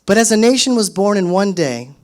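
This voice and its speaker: A man in his thirties telling an animated story in a speech to an audience, acting out quotes. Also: sits high, fairly quick. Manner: animated, acts out quotes in raised voice. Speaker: speaker at a solidarity event.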